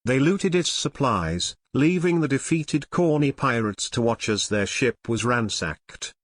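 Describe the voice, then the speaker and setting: A man's voice: medium pitch, lively intonation, a little slow, dry recording, studio quality. A man narrating an audio drama in a scripted, dramatic storytelling style.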